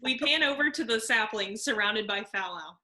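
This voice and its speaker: Female narrator, fairly high bright voice, reading scripted scene directions for a table read. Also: animated, moderate pace, rough recording.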